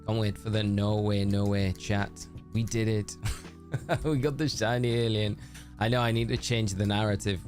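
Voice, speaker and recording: A man chatting casually on a live stream, greeting viewers by name and calling them mate. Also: on the low side. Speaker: streamer reading live chat. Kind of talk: casual live-stream chat while shiny hunting.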